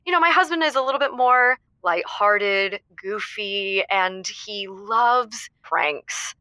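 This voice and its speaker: A woman's voice: mid-range voice, slow, sharp tone, clear, resonant tone, no echo. A woman playing a playful, mischievous wife telling stories as a cheerful caller on a comedy radio show. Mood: gleeful, mischievous. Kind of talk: improvised comedy storytelling.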